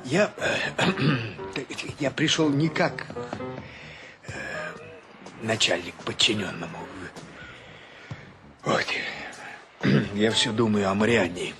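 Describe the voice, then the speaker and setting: An actor playing a fussy, sentimental ship's captain who rambles hesitantly in a comedy radio play. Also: male voice, fairly low pitch, animated, slow, long pauses, over music, heavily acted. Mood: wistful, hesitant. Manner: hesitant, throat-clearing, rambling.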